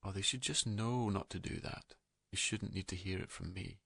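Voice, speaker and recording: A man narrating a guided meditation in a calm, gentle, soothing manner, leaving unhurried pauses between soft phrases. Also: low-pitched, vocal fry, husky, dry recording.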